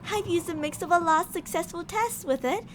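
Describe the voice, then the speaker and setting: A woman's voice: extremely high pitch, brisk, a little hiss or hum. A woman playing a cocky, unhinged mad scientist in an ASMR audio drama, blunt and theatrical in her boasting. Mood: cocky, nonchalant.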